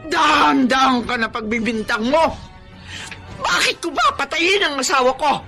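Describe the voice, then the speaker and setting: A very high, widely swinging Filipino villainess voice. She is a deceitful stepmother in a radio melodrama, indignant and defiant with gasping protests. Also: animated, moderate pace, pushed and bright, soft background music, full character voice. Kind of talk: Filipino radio drama acting.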